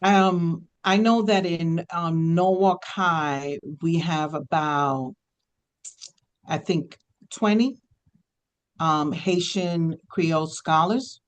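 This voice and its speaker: A man's voice: a little high, extremely slow, clean tone. A man answering questions at a school committee meeting, hesitant and thinking aloud with many fillers. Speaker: district multilingual program staff.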